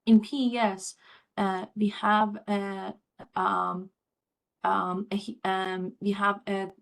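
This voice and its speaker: A woman from Iran answering as an expert panelist, speaking off the cuff with searching pauses. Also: mid-range voice, level tone, creaky, a bit bright.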